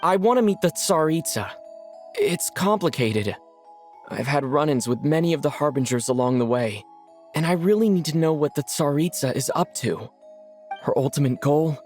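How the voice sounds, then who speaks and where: Man as young traveler, fairly high male voice, earnest, determined, video game hero, music underneath. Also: expressive, normal speed, a little mellow, natural voice. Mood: earnest, resolute.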